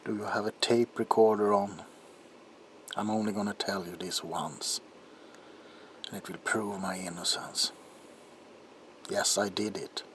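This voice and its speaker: A man playing a troubled, desperate doctor in an ASMR roleplay monologue, speaking haltingly in a hushed voice that often drops toward a whisper. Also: low voice. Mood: weary, resigned. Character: troubled doctor protesting innocence, desperate and haunted. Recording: audible background noise.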